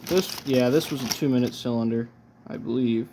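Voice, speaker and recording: A man presents his collection in a casual unboxing style. His delivery is low-key and halting, as if working out his thoughts aloud. His voice sits at an average pitch for a man. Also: background noise.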